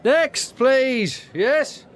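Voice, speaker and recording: High-voiced barman. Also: animated, extremely slow, slight background noise, full character voice. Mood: brisk. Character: brisk pub barman. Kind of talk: radio comedy drama acting.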